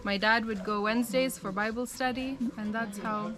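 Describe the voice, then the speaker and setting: A young American woman telling her life story in a relaxed, chatty way, with frequent "um" and "like". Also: on the high side, moderate pace. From United States. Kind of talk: casual storytelling.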